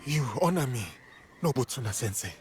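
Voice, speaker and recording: Earnest, restrained warrior over music, an actor's role. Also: male voice, medium pitch, animated, a little fast, natural voice. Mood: respectful. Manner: respectful, formal, restrained. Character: earnest, humble foreign warrior training as samurai. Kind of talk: dramatic video game cutscene acting.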